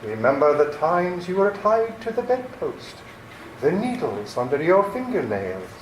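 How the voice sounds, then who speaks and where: A man's voice: on the high side, sing-song. A man playing a sinister, cruel criminal brother in a radio play, with a threatening manner. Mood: menacing, mocking. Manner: slow, threatening delivery. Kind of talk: old-time radio play acting. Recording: roomy, echoing recording with faint noise.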